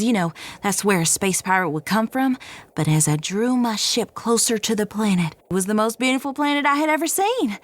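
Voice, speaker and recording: A woman's voice: fairly high pitch, no echo, natural voice. A woman playing a folksy, plain-spoken and adventurous space cargo pilot in an audio drama, talking informally like a storyteller.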